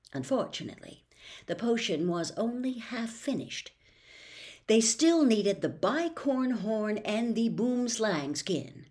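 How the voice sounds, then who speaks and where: A woman in her sixties reading a children's novel aloud with theatrical, expressive, deliberate phrasing, recorded at home. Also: medium pitch. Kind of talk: dramatic audiobook-style narration of a children's novel. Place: small bedroom at home.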